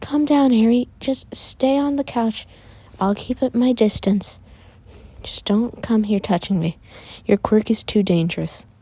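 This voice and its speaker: Anxious older sister for a storybook tale, woman performer: raised girlish pitch, urgent, pleading, protective, mellow tone. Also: steady tone, measured pace, breathy edge, dry recording. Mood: cautious.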